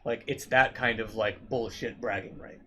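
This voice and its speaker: A man's voice: mid-range voice, steady tone, normal speed, bright, forceful tone. A man co-hosting a casual podcast, explaining his views emphatically and swearing often. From New York. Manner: emphatic, profane, explains a theory at length. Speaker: podcast co-host. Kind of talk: casual chat.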